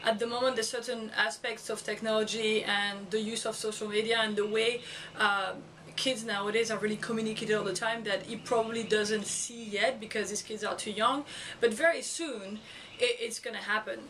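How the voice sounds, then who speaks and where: A woman with a French accent talks to her camera in a loose, halting way, full of fillers and restarts. Her voice sits a little high. She is a few steps back from the camera mic, with a faint hiss behind her. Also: fairly even intonation, a bit bright, recorded from a distance, rough recording. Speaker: school educator and video blogger. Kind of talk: casual vlog talk to camera. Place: outdoors or home setting in Florida.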